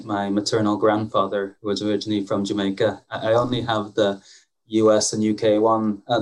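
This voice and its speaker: A man from Bangladesh hosts a relaxed podcast conversation, friendly and self-deprecating. He talks in a lowish voice, often restarting his phrases. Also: poor audio. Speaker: podcast host.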